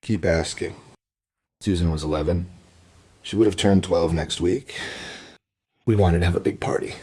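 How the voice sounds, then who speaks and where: Husky, deep-voiced grieving father. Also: moderate pace, warm tone. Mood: grieving, subdued. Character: grieving, well-off father, subdued and polite. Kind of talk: crime radio play acting.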